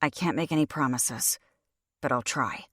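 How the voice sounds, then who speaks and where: A woman putting on a bitter, defiant young captive who answers bluntly and with scorn. The voice sits low and dry, with close, plain storybook delivery. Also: moderate pace, a bit bright, no echo. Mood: sullen.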